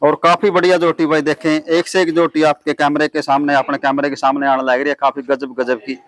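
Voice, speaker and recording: Casting: man in his thirties, slightly high voice, dry close lapel sound, brisk host. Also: level tone, no echo. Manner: brisk, affirming with repeated short agreements. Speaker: dairy video host. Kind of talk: on-farm livestock walkthrough. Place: outdoor farmyard.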